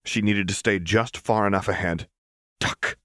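A man reading an audiobook, narrating dramatic fiction in a measured storyteller's delivery. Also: lowish voice, steady tone, a bit bright, no echo, clean studio sound.

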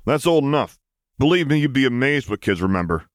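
A man's voice: medium pitch, very animated, fairly quick, no room sound, clean studio sound, pitched above the performer's own voice, natural voice. A man voicing a brash, wry, streetwise starship pilot in an audiobook, with a slangy, offhand drawl. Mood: casual, teasing.

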